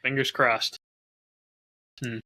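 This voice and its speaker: A man chatting casually as a podcast co-host in a low-key, measured, slightly hedging way. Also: little pitch movement, sharp tone. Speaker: podcast co-host and audio drama creator.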